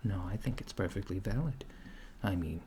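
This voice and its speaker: Soft-spoken low-voiced man, deadpan alien roleplay. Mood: curious, deadpan. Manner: deliberate, halting, quizzical, deadpan. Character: curious, puzzled alien interviewer studying Earth. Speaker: ASMR roleplay performer. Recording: clear background noise, keyboard typing. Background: computer keyboard typing.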